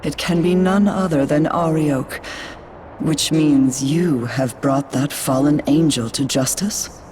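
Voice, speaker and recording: An actress playing a stern, lofty angel commander who speaks slowly and solemnly, judging and proclaiming. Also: female voice, sits low, noisy background. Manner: slow, solemn, proclaiming, judgmental. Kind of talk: game cutscene acting. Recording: game music clearly behind voice.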